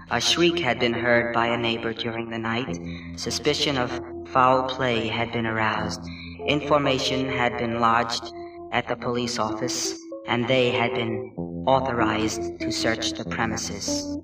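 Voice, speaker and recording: Man acting a slow, theatrical mad murderer. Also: medium pitch, natural voice. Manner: theatrical, slow deliberate delivery. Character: mad murderer confessing, manic, cunning, increasingly frantic. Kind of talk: dramatic horror story reading. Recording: roomy, distant, music behind.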